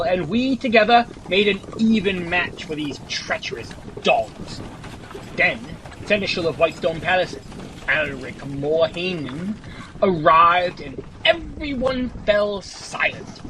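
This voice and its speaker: A high-voiced young soldier played by a man gives his report in a fantasy audio drama. He is formal, deferential and a little anxious, yet loyal. Clear outdoor ambience runs behind him. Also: animated, a little slow, pushed and bright, slight background noise, heavily acted, low-quality recording. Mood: dutiful, formal. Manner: formal, hesitant, respectful military reporting.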